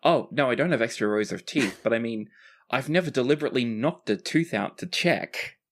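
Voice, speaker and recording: A tabletop player voicing an easygoing, protective male fighter in a fantasy game, plain-spoken and gently teasing. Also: mid-range voice, animated, fairly quick, close to an everyday voice.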